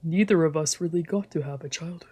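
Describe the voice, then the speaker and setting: A streamer voicing a brooding, wry, guarded young man in a soft, measured visual novel read-aloud. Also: medium pitch, breathy edge, natural voice. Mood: soft, earnest.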